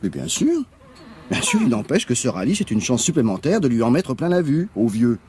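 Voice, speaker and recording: A man's voice: medium pitch, sing-song, a little fast, a little mellow. An actor playing an ambitious, touchy man in a French radio mystery, eager to impress, speaking colloquially with some hesitation and irritability. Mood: boastful, eager.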